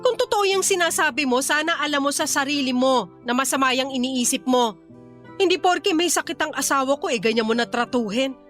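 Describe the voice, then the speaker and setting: Loyal, fed-up Filipino mother for radio drama: high-pitched, sharp, emphatic reproach. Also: brisk. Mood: firm reproach. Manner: scolding, firm, emphatic. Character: exasperated, loyal middle-aged wife and mother. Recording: music behind the voice.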